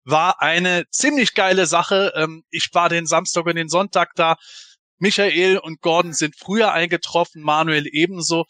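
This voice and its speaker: A man's voice: on the high side, fairly even intonation, normal speed, sharp tone, dry recording. A man hosting a casual live fan podcast in a chatty, bantering way. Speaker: podcast host.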